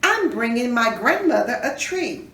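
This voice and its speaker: A woman's voice: a little high, big pitch swings, a little slow, fairly bright tone. A woman voicing a bold, suspicious young girl from a storybook, exclaiming and questioning. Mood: cheerful. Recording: roomy echo, distant mic, faint noise.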